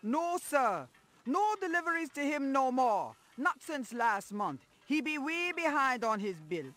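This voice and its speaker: Jamaican food-stall cook. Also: female voice, high-pitched, very animated, a little slow, a bit bright, exaggerated voice. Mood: gossipy. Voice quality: heavy accent, sing-song sales patter. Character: cheerful woman running a food stall. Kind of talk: video game voice acting.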